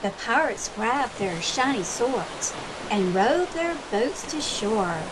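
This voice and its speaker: Children's story reader: woman, fairly high pitch, measured and emphatic delivery, expressive narration. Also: noisy background. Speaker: librarian reading aloud.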